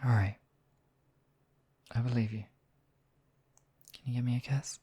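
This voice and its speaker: A man playing a demon king in an intimate ASMR roleplay, husky and theatrical, with deliberate phrasing and lingering pauses. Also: medium pitch, level tone, dark tone. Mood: soft, loving. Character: demon king, menacing captor act turning tender, devoted lover.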